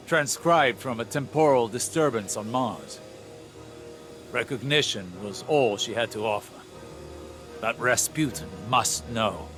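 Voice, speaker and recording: Game strategist, played by a man: grave, urgent scholar, solemn intensity, deliberate delivery, clear music underneath. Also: medium pitch, lightly acted. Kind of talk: scripted game cutscene narration.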